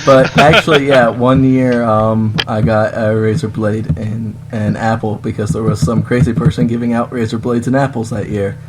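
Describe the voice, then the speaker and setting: A man's voice: on the low side, flat delivery, moderate pace, hardly any pauses, over music, rough recording. A man chatting casually as a podcast guest, with a dry, offhand way of joking.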